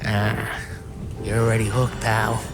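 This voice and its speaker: A man's voice: lowish voice, monotone, slow pace, over loud music, rough recording. An actor playing a weary, restless American gangster in his late thirties, with blunt, profane, slangy talk in a crime game. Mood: weary, pleading. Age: 30s. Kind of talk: cinematic crime game acting.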